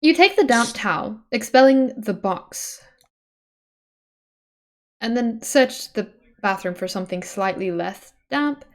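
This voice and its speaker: A woman's voice: on the high side, animated, takes it slowly, long pauses. A woman streamer reading a webcomic aloud, mixing dramatic narration with casual, chatty asides.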